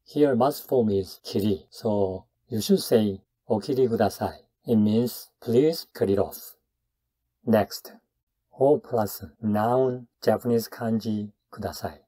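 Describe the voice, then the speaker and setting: A man's voice: on the low side, takes it slowly, mellow, husky. A man teaching grammar in a scripted lesson, explaining step by step in short phrases. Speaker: Japanese language teacher.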